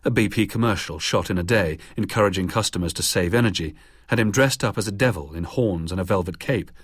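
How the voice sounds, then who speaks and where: A man narrating a biographical documentary in a measured, even reading. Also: low-pitched, breathy edge, no echo, clean studio sound.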